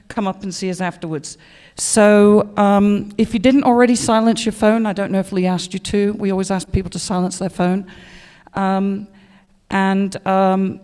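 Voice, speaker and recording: A woman with a loud voice giving an organized, friendly presentation to a club audience as an insurance representative. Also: medium pitch. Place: indoor meeting room. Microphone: microphone. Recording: long reverberant room sound.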